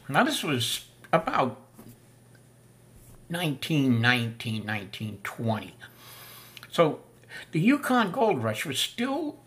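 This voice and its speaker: An older man talking through the history of a piece of camping gear in a folksy, unhurried way, with many filler sounds. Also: medium pitch, fairly bright tone. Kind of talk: casual spoken history lesson. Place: small room indoors.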